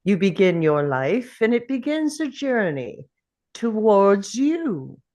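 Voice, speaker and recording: Guy doing an ominous solemn voice-over narrator, measured and slow, really dramatic rise and fall. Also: high voice, big pitch swings, darkish tone, full character voice. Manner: measured, portentous. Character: ominous, solemn narrator warning of relentless pursuit. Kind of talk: dramatic scripted voice-over at a table read.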